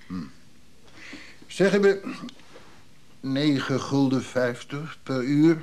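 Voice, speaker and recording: An actor playing a gruff, sly old craftsman in a care home in a Dutch radio play, blunt and grumbling with a chuckle. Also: medium pitch, animated, slow, darkish tone. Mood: bargaining. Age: older.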